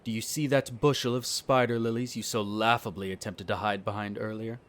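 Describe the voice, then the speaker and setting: A man's voice: lowish voice, fairly even intonation, a little fast, lightly acted. Haughty, condescending vampire nobleman, acted by a man. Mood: grudgingly reflective. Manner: condescending, sardonic, formal wording. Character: haughty, smug male vampire noble, disdainful of humans. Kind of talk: scripted roleplay audio drama.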